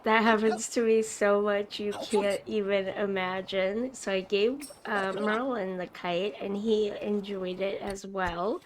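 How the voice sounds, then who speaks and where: A woman hosting a gaming video, narrating casually and chattily in a slightly rough, raw voice as she recovers from a cough. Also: mid-range voice, steady tone, slow pace, few pauses, crowd noise. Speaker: host of a gaming video series. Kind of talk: casual gameplay narration voice-over.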